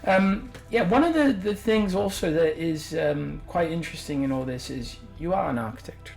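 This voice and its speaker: A male podcast host with a Portuguese accent, speaking a bit high with a gravelly fry in his voice. He nods along with steady "yeah"s and builds long, meandering questions, with soft music in the background. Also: normal speed. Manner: affirming, with frequent "yeah" and long winding questions. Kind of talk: interview hosting.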